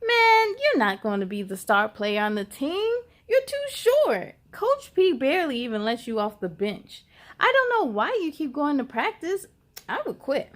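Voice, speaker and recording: A woman's voice: high voice, huge pitch swings, measured pace, heavily acted. A woman voicing a smirking, mocking schoolboy in a storybook, taunting and dismissive. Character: smirking, mocking schoolboy who belittles his friend. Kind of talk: storybook read-aloud.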